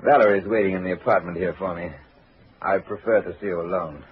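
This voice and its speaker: A man's voice: sits low, steady tone, quick pace, slight background noise, close to an everyday voice. An actor playing a silver-tongued, courtly con man in florid 1940s radio drama style. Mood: smugly pleased. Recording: tinny, band-limited 1940s radio recording.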